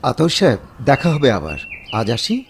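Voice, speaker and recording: A man's voice: mid-range voice, huge pitch swings, unhurried, creaky, loud music behind the voice, heavily acted. A man playing a practical companion giving advice in a radio drama. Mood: advising. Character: practical male companion advising on a land deal. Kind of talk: Bengali radio drama acting.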